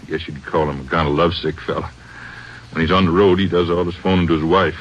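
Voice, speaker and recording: An American actor playing a weary, folksy small-town feed merchant in an old radio drama, talking plainly and a little hesitantly. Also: male voice, deep voice, flat delivery, fairly quick, close to an everyday voice. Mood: folksy, uneasy. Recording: muffled old radio recording, faint noise.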